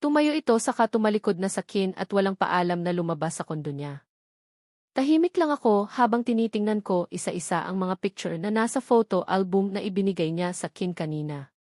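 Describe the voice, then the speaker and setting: Female Filipino storyteller, mid-pitched, emotive and sorrowful, for romance novel narration in a dry recording. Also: darkish tone, no room sound. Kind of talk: dramatic first-person romance novel narration.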